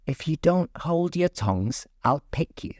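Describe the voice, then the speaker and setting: A man's voice: fairly high pitch, expressive, a little slow, a little mellow, no room sound, studio quality, pitched above the performer's own voice. A man voicing a polite, curious young girl in a storybook reading. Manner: polite, earnest.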